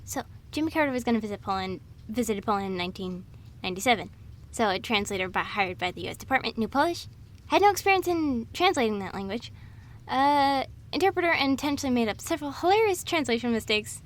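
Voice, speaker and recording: High-pitched woman rambling casually. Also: animated, fast. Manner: scatterbrained, chatty, amused. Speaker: audio roleplay performer playing a rambling girlfriend. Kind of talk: casual rambling monologue of trivia.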